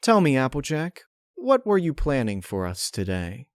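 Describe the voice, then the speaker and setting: A man voicing a cunning, smug female unicorn villain in a dark story, talking in a coolly probing way. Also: mid-range voice, wildly swooping pitch, normal speed, no echo, clean studio sound, voice pushed up.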